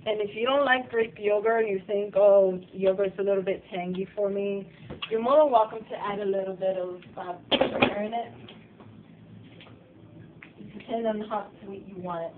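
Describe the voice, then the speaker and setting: Hoarse lady showing a cheap recipe in her kitchen, mic kinda far away, lots of hiss. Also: medium pitch, steady tone, moderate pace. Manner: chatty, loose, with fillers and restarts. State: slightly hoarse, getting sick. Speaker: cooking show host. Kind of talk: casual cooking demonstration. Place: home kitchen. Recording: distant, tinny and noisy home video.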